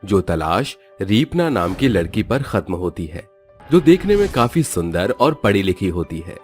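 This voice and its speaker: A man's voice: low-pitched, big pitch swings, unhurried, soft background music. A man narrating a scripted Hindi cartoon story as a storyteller.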